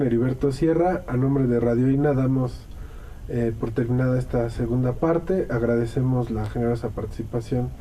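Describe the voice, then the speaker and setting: A Mexican man hosts a radio interview in a dark, mellow voice. He sits back from the mic, so he sounds a bit distant. A faint hiss runs underneath. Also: mid-range voice. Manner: calm, gives frequent soft backchannel agreement. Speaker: radio host.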